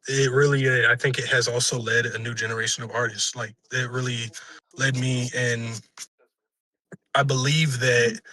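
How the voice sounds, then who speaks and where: A young man with a raspy voice, a musician being interviewed, chatting casually and earnestly in slang and profanity, often backtracking. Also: mid-range voice, sharp tone, poor audio. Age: young adult.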